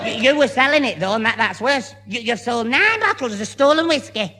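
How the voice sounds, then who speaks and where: A man's voice: extremely high pitch, animated, brisk, in a large hall, background noise. A man playing a dim, panicky young lad with a stammer and a northern English accent in a radio comedy. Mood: indignant, flustered. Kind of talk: old-time radio sitcom acting.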